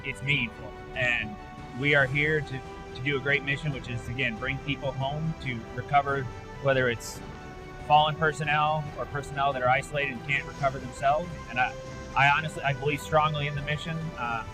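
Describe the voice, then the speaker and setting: Male military officer, American, forties, higher-set voice, earnest documentary interview delivery, strong music underneath. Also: fairly even intonation, fairly quick, low-quality recording.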